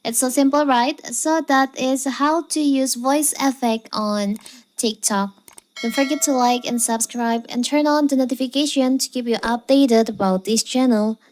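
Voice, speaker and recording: A woman's voice: sits high, normal speed, darkish tone. A woman hosting a how-to video, narrating step by step in a scripted tutorial style. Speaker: tutorial channel host.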